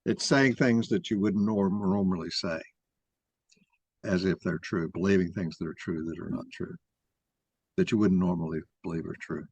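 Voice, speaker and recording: A man's voice: low-pitched, moderate pace, darkish tone, breathy edge, dry recording, lo-fi sound. A man giving an explanatory talk in an opinionated, didactic way, taking questions as he presents his argument.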